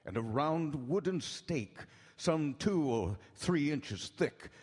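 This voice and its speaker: A grave doctor telling a dark tale in old-time radio drama style. His phrasing is measured and dramatic, his voice husky, with the long reverb of a live hall. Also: a little high, expressive, heavily acted. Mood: grave dread. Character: grave doctor recounting vampire horrors from his journal. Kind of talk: live radio drama narration in 1930s-40s manner.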